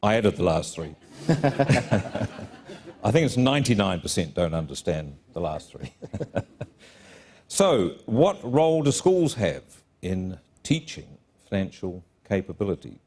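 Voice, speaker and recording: A man's voice: fairly low pitch, big pitch swings, slow, long pauses, darkish tone, husky. A man with a New Zealand accent hosting a conference stage interview, chatty and jokey as he reminisces and introduces his guest. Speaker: panel host.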